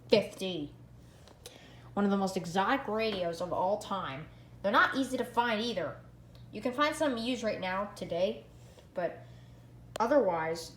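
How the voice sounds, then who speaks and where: A female-sounding vlogger giving a casual product review in an excitable way, repeating phrases for emphasis. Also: mid-range voice. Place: indoor room at home. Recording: echoey room, background hiss.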